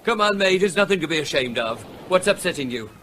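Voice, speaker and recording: An actor playing a suspicious working-class seaside trader in a radio drama, blunt, slangy and needling. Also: male voice, a little high, expressive, fast talker, pushed and bright, slight background noise.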